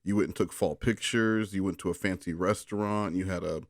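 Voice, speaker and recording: A man's voice: deep voice, fairly even intonation, a little fast, dry recording, studio quality. A man chatting casually and reading a story aloud on a phone call, coaxing and teasing in a rambling way. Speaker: bedtime story reader on a call.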